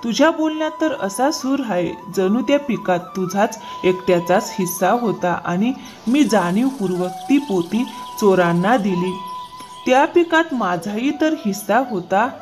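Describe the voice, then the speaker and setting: A woman voicing a greedy, deceitful farmer man in a moral-story cartoon, flattering and easily flustered. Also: medium pitch, unhurried, music in the background, lightly acted. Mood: indignant.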